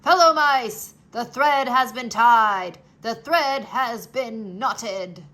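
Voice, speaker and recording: A woman voicing a male mouse threadmaster, polite and secretive, speaking in a low hushed murmur. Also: takes it slowly. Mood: shouting.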